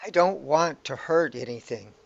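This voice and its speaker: Man acting a creaky, anxious hero. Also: a little high, normal speed, dry recording, lo-fi sound. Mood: anxious, bewildered. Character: anxious, bewildered hero stranded in a surreal world. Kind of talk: radio play acting.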